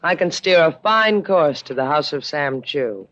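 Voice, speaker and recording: Low-voiced, wry woman sailor. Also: expressive, unhurried, a bit bright. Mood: teasing, devoted. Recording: dull vintage 1950s radio recording.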